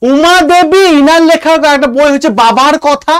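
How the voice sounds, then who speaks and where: A man's voice: very high voice, moderate pace, fairly bright tone, no echo. A man with a Bengali accent teaching an online exam-prep class, talking informally to students and repeating points emphatically as if dictating.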